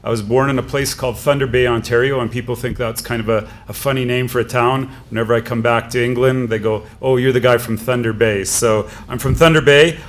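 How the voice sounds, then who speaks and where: A man's voice: mid-range voice, fairly bright tone. Canadian man giving a conference talk. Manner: conversational, with frequent fillers. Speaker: conference speaker. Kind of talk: conference speech.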